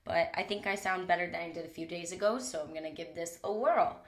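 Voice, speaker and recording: A woman's voice: on the low side, fast. A young woman hosting her own podcast, talking candidly and casually with some swearing, her voice a little stuffy from a cold, recorded on a mic in a small closet. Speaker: podcast host. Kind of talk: solo podcast monologue.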